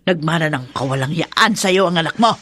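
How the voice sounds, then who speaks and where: An actress playing a bitter, unforgiving middle-aged widow who scolds and blames in a radio drama. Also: female voice, sits low, animated, brisk, fairly bright tone. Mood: bitter, accusing. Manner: scolding, accusatory.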